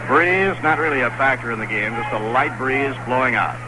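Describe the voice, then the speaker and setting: A man calling baseball play-by-play on the radio in the 1960s, brisk and easygoing. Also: mid-range voice, expressive. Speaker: play-by-play announcer. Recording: noisy vintage radio broadcast.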